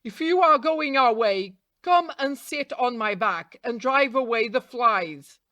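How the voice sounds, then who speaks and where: A woman's voice: a little high, lively intonation, slow pace, pushed and bright, no room sound, full character voice. A woman voicing a put-upon but sly donkey in a storybook, with a reasoning, wheedling manner. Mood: friendly.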